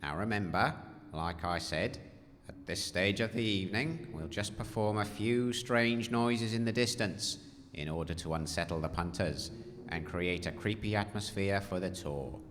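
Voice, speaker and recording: A man plays a grumpy, weary station master in an audio comedy. The voice is low and dour, giving unimpressed instructions in a reverberant space. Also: normal speed, fairly bright tone. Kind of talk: comedy audio drama acting. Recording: reverberant, faint noise.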